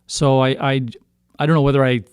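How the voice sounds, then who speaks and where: A middle-aged man from Canada telling a story casually as a guest, halting and often circling back to rephrase. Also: mid-range voice, little pitch movement, clean tone, dry recording, clean studio sound. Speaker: singer-songwriter guest. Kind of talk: casual storytelling in a podcast interview.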